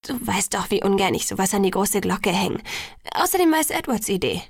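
A woman's voice: mid-range voice, animated, a little fast, dry recording, clean studio sound. A woman voicing a reluctant, exasperated teenage bride-to-be in an audiobook, defensive and earnest. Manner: protesting, exasperated.

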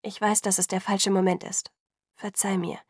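A woman's voice: mid-range voice, little pitch movement, normal speed, husky, no echo, studio quality, close to an everyday voice. A woman voicing a determined but torn teenage girl in an audiobook, earnest and emotional. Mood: hushed, apologetic.

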